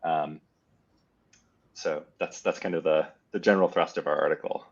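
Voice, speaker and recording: A man, a history professor, explaining his work as a guest in a measured conversational academic style, on dull lo-fi audio. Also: a bass voice, steady tone.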